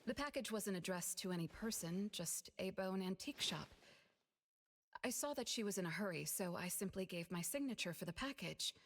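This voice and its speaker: A woman playing a composed, polite young woman in an anime-style game, measured and earnest. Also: medium pitch, animated. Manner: measured, explanatory. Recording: reverberant hall-like sound.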